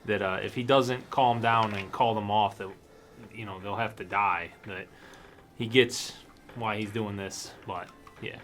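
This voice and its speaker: Low-voiced man running a tabletop game. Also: steady tone, measured pace, fairly bright tone. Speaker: game master.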